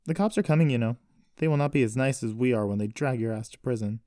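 A man's voice: mid-range voice, fast talker, warm tone, no echo, studio quality, voice pushed up, close to an everyday voice. A man voicing a furious, frantic young woman in an audiobook story, accusing and stubborn. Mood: cold, accusing.

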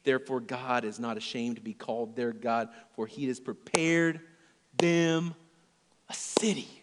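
A male pastor preaching a sermon in a church, his delivery earnest and swinging from loud emphasis to hushed, near-whispered lines. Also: mid-range voice, fairly even intonation, a little slow, long reverb tail, slight background noise.

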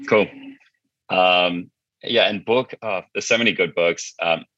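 A man's voice: deep voice, measured pace, bright, forceful tone, no echo, muffled recording. A man speaking as an interview guest in a casual, informal way, explaining things as he thinks aloud. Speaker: podcast guest, marketing agency founder.

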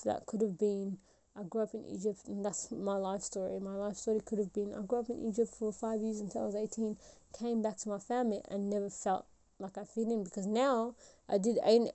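Mellow-voiced young woman musing on a podcast. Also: mid-range voice. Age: 20s. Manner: rambling, many fillers and restarts. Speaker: podcast host. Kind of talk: solo reflective podcast talk.

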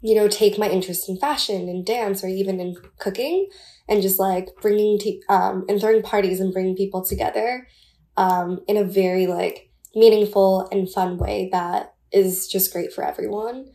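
A woman's voice: medium pitch, steady tone, normal speed, dark tone. A woman in her twenties from Taiwan, a podcast guest chatting casually with many "like" and "um" fillers. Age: 20s.

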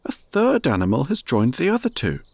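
A man voicing a slow, earnest, muddled male teddy bear in a storybook reading, speaking in a ponderous, mysterious, solemnly puzzled way. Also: medium pitch, wildly swooping pitch, vocal fry, darkish tone, no room sound, exaggerated voice. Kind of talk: storybook character voice.

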